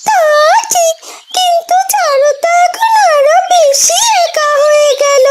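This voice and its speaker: A woman's voice: very high-pitched, takes it slowly. A woman voicing a small, tender-hearted pet bird in a cartoon, plaintive and worried. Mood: sad, worried. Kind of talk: cartoon voice acting. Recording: very noisy background with clicks.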